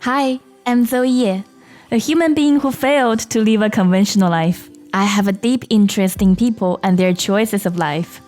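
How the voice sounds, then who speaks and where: A woman host reading her podcast's scripted introduction in a measured way. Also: medium pitch, darkish tone. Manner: measured, read-aloud delivery. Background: faint music.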